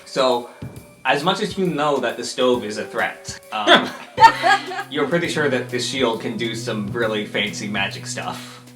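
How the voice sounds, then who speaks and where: A man's voice: mid-range voice, big pitch swings, a little slow. A man improvising narration as a tabletop game master, explaining things informally and with amusement. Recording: echoey room with music underneath. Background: music.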